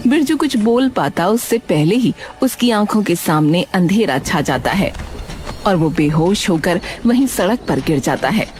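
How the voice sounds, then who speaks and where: Animated woman narrating a storybook. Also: lowish voice, a little slow.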